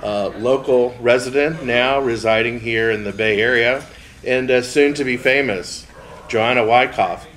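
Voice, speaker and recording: A man hosting a casual interview, friendly, with many pauses and fillers as he asks his questions. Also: medium pitch, a bit bright, poor audio.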